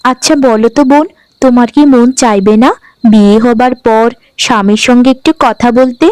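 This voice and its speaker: A woman voicing a gentle, dutiful young new bride in a cartoon, speaking meekly and earnestly. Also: on the high side, steady tone, moderate pace, clear, resonant tone, no room sound, close to an everyday voice. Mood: gently earnest. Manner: meek, earnest, conciliatory. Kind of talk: Bengali cartoon dialogue acting.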